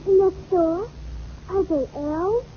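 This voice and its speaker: A tiny, earnest girl who believes she is a fairy queen. The voice is extremely high and matter-of-fact about magic. It comes through a muffled old radio comedy recording with clear noise. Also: extremely slow, full character voice. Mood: earnest make-believe. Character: imaginative little girl playing fairy queen. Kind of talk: 1940s radio comedy acting.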